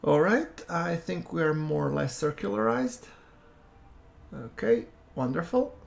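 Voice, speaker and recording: A man giving relaxed, chatty commentary over a space-game playthrough, with a soothing voice and self-deprecating asides. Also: fairly high pitch, unhurried, creaky. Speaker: gameplay commentator. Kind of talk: casual let's-play commentary.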